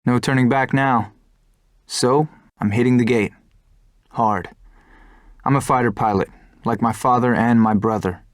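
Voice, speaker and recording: A man's voice: medium pitch, steady tone, measured pace, pauses often, no room sound, natural voice. Man playing earnest, determined young fighter pilot. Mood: solemn, defiant. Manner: earnest, resolute. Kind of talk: video game cutscene acting and dramatic monologue. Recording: dry studio voice over music.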